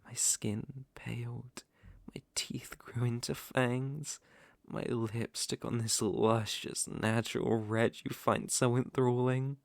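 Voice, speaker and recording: A man's voice: medium pitch, flat delivery, takes it slowly, vocal fry, no room sound. A British man playing a suave, possessive vampire count in an intimate ASMR roleplay, teasing and commanding in theatrical, old-fashioned phrasing. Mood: brooding, sinister.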